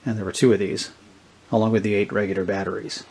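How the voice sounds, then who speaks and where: Middle aged midwest guy, kinda low voice, casually showing off gear, halting and thinking out loud. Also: flat delivery, vocal fry, slightly rough, rough recording. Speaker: presenter of a vintage electronics channel. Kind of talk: casual unscripted product demonstration. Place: indoors, small tabletop product-shooting setup.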